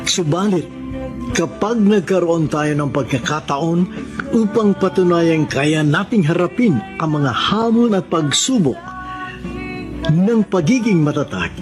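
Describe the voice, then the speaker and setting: A man with a Filipino accent hosting a radio drama, reading scripted narration in a solemn, deliberate, dramatic way. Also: on the high side, animated, over music. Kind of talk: scripted moral narration and credits.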